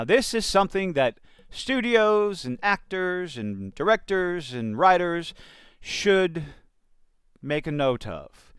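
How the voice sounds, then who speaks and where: A man's voice: on the high side, fairly bright tone, smooth, dry recording, studio quality. A man giving opinionated commentary in a blunt, sardonic and emphatic manner. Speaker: commentator reacting to entertainment news. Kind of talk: opinionated commentary with quotes read out.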